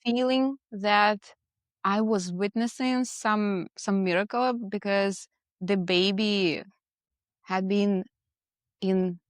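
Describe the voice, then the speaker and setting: A woman's voice: medium pitch, fairly even intonation, slow pace, no echo, clean studio sound. A woman from Russia with a Russian accent, telling her own story as a guest in a casual interview. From Moscow, Russia. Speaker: podcast guest, portrait photographer.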